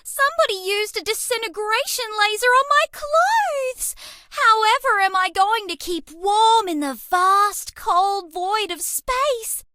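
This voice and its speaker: Very high, cutesy alien mouse girl. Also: bright, forceful tone, dry recording, studio quality, full character voice. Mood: coy, dramatic. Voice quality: cutesy, girlish. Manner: playful, coy, dramatic exclamations. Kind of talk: video game character voice acting.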